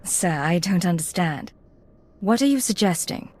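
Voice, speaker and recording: Female secret agent, slightly low voice, cool, composed, understated, video game acting. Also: lively intonation, measured pace, darkish tone. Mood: cool, confident. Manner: controlled, understated.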